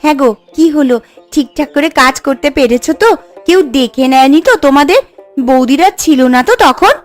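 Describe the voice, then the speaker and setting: A woman's voice: high-pitched, fairly quick, soft background music, natural voice. A woman voicing an envious, conniving village wife in a cartoon, prodding and anxious. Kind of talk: cartoon voice acting.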